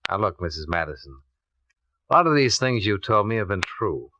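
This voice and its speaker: An actor playing a cool, relentless American insurance investigator, blunt and probing, in a 1950s radio drama. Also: male voice, fairly low pitch, big pitch swings, moderate pace, pushed and bright, a little hiss or hum. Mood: stern, accusing. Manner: clipped, probing, blunt interrogation. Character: hard-boiled, methodical male insurance investigator, relentless and cool. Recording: dull vintage radio recording.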